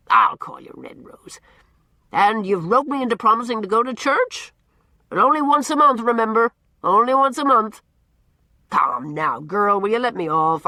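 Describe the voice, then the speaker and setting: A woman doing a booming, bossy old man. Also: pushed and bright, no room sound. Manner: booming, repeats himself, teasing. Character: blustering gruff old man, loud and bossy.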